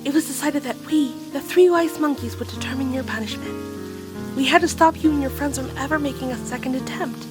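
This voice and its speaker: High-voiced, stern monkey creature played by a woman. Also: brisk, over music, natural voice. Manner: stern, guarded. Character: stern, guarded wise monkey creature passing judgment. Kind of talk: audio drama acting.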